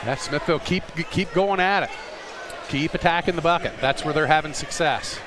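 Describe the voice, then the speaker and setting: A man's voice: on the high side, moderate pace. An American man giving plain-spoken color commentary and critique during a live basketball game. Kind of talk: sports analysis commentary. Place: indoor high school gymnasium. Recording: noisy gym background. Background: gym noise.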